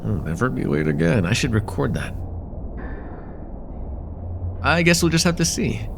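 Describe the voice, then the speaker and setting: Man acting as a friendly college guy, lively pitch. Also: normal speed, vocal fry, noisy background. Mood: playful bickering. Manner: casual, hesitant, conversational with soft teasing. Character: friendly, teasing college-age man chatting with close friend. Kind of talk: slice-of-life ASMR roleplay acting.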